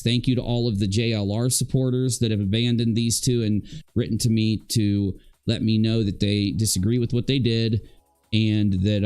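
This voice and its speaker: A man's voice: on the low side, darkish tone, smooth. A man hosting a livestream, ranting casually in an emphatic, repetitive and accusatory way. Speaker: livestream host and video creator. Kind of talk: casual rambling livestream rant.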